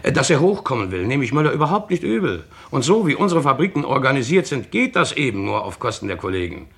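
An actor playing a defiant, plain-spoken factory worker in a radio crime drama, coarse and argumentative, with a creaky voice. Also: male voice, mid-range voice, big pitch swings, fast talker. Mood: blunt, scornful.

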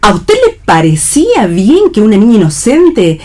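A woman's voice: medium pitch, wildly swooping pitch, normal speed, a little mellow. A woman playing an indignant, snobbish, protective mother in a radio play reading.

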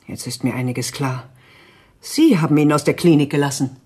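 An actress playing a cold, imperious villainous doctor in a radio drama, with a husky voice and sharp delivery. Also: female voice, sits low, moderate pace. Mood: cold, commanding.

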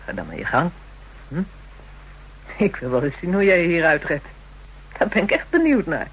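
An actress playing a hardened, taunting woman in a radio drama, with a husky voice, a Dutch accent and brash, slangy speech. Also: on the low side, wildly swooping pitch, normal speed, slight background noise, rough recording. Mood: hostile, taunting. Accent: Dutch (Netherlands). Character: hardened, jealous, taunting woman dealing in kinky services.

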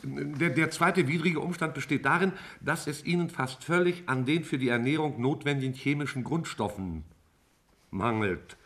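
A man playing a scientist in a 1950s German radio drama, reading messages aloud in a careful, halting way. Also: fairly high pitch, fairly bright tone. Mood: halting, intent.

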